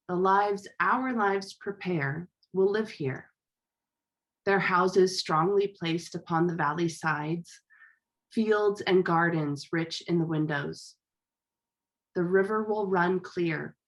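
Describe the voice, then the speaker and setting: Woman, poetry reading, solemn, measured, slow pace, lowish voice. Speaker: reader at a workshop.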